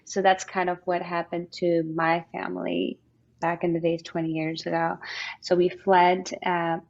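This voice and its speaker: A woman's voice: lowish voice, completely flat delivery, a little slow, vocal fry, a little mellow, dull audio. A woman in her thirties from Afghanistan and Pakistan, giving an informal, explanatory personal account as an interview guest. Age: 30s.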